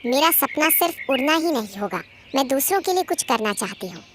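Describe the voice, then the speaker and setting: High-pitched brave little bird, acted by a woman. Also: very animated, a little fast, a bit bright, slight background noise. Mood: earnest, resolved. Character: small brave young female bird, determined and earnest. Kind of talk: Hindi cartoon story dialogue.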